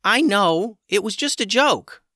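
A man's voice: sits high, expressive, a little slow, bright, forceful tone, dry recording, voice pushed up, full character voice. A man voicing an awkward talking male chicken who makes dry jokes, in a storybook reading.

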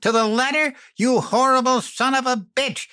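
A man's voice: very high-pitched, steady tone, moderate pace, bright, forceful tone, no room sound, clean studio sound, voice pushed up. A man voicing a grieving widowed mother who screams bitter insults in a fury. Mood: furious.

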